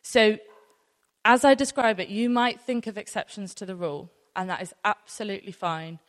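A woman's voice: mid-range voice, fairly even intonation, moderate pace. A woman in her thirties with a British accent gives an informal sermon to a congregation in a conversational, anecdotal way, using rhetorical questions. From near North Wales, UK. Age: 30s. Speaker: church speaker. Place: large room, live talk to an audience. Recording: echoey hall with long reverb.

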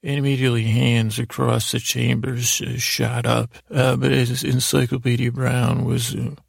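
Gravelly, creaky man rambling at bedtime. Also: mid-range voice, flat delivery, no echo. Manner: halting, meandering, repeating words as he goes. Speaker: podcast host. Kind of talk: rambling, whimsical bedtime monologue.

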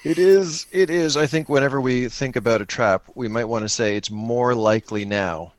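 Male game host, medium pitch, conversational improvised narration, asks and answers his own questions, very little room sound. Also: moderate pace, no room sound. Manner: thinks aloud, poses questions and answers them. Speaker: host and player of an improvised solo-engine tabletop game. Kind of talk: casual tabletop roleplay chat, improvised narration.

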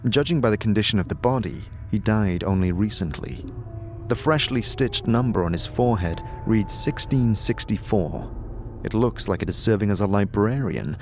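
A man narrating a scripted audio drama in the second person, with measured, descriptive storytelling. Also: on the low side. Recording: music under the voice.

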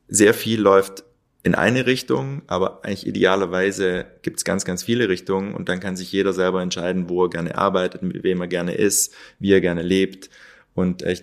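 A man's voice: low voice, vocal fry. A man who founded a hotel, talking reflectively as an interview guest, searching for words with many fillers.

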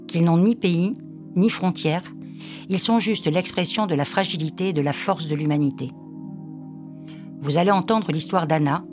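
A woman hosting a podcast, reading a scripted introduction in a measured, earnest way. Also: fairly low pitch, darkish tone. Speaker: podcast host. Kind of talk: scripted narration. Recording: music bed under the voice.

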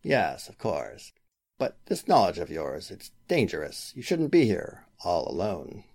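A man's voice: low-pitched, huge pitch swings, measured pace, gravelly, a little mellow, full character voice. A man playing a scheming, formal lawyer with a killer's intensity in a 1940s-style radio drama. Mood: uneasy probing. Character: scheming, smooth-talking male lawyer turned desperate killer. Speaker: voice actor.

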